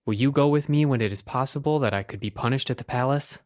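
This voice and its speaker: A woman's voice: a bass voice, quick pace, a little mellow, voice pushed down. A woman voicing an anxious, earnest young man in a children's story, with dramatic, plaintive delivery. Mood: pleading.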